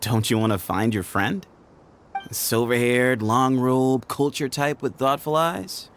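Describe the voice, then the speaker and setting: A man's voice: medium pitch, steady tone, a little slow, fairly bright tone. A man voicing a sly, playful young desert gang leader in a video game, talking in a teasing, cocky, casual way. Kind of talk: video game dialogue acting. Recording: faint ambient noise.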